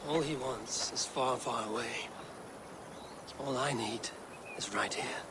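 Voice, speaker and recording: Man acting earnest Viking leader amid loud ambient noise. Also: mid-range voice, takes it slowly, natural voice. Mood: tender, earnest. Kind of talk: video game dramatic dialogue. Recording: noisy outdoor ambience behind voice.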